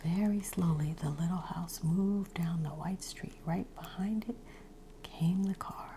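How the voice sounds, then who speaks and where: A woman's voice: fairly low pitch, unhurried, a little hiss or hum. Whispering woman reading a storybook. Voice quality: soft whisper. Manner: whispering, with asides and ad-libbed lines. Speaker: reader of a children's picture book.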